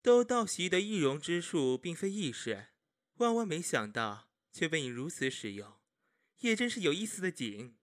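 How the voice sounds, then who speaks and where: A man playing a sharp-tongued, articulate confidant of an imperial official in a Chinese costume audio drama. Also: a little high, very animated, normal speed. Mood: wry teasing. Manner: wry, articulate, needling.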